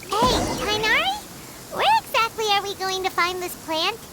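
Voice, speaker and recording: A woman voicing a tiny, chirpy, childlike fairy companion in a video game, with a squeaky voice. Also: big pitch swings, normal speed, heavy background noise. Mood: chirpy, curious. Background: loud game music.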